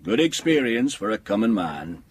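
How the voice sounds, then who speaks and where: An actor playing a kindly older solicitor in a period audio drama, genteel, avuncular and reassuring. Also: male voice, on the low side, very animated, moderate pace, breathy edge. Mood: fatherly reassurance.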